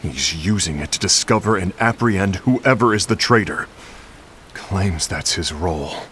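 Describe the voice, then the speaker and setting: A man's voice: sits low, animated, moderate pace, a bit bright, a little hiss or hum. A man playing a proud, bitter warrior in a dramatic video game cutscene, brooding and emphatic. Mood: wry, resentful. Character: gruff, proud, bitter male warrior and comrade. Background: music.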